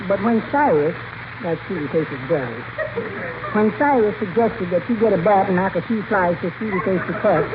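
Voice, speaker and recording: An actor playing a timid, henpecked little husband, mild, polite and mousy, in a 1940s radio comedy. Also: male voice, high voice, expressive, moderate pace. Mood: meek, mild. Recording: very noisy, tinny old radio recording.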